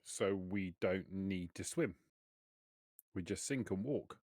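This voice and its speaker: Low-voiced man playing a tabletop game. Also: slow pace, darkish tone, no echo, clean studio sound. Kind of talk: casual in-character table talk.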